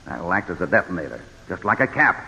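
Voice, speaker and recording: An actor playing a steady, resolute American cowboy hero in a vintage radio western, speaking with firm, deliberate authority. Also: male voice, deep voice, creaky, full character voice. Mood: grave, calculating. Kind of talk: 1940s-50s radio western acting. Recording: old radio recording, reverberant and muffled.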